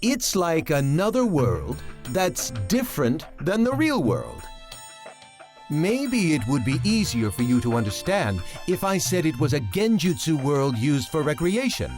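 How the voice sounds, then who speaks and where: An anime game scientist with a high, swooping voice. He is bashful and fretful, eagerly explaining things in a flustered stammer over background music. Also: very animated, measured pace, a little mellow. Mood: explanatory. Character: excitable, bashful male scientist inventor, deferential and fretful. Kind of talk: English anime game dub acting. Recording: music behind.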